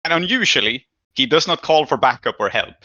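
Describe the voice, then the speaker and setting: Male game master: conversational, thoughtful improvised narration, forward bright voice, dry and slightly dull recording. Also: fairly high pitch, expressive, brisk, dry recording.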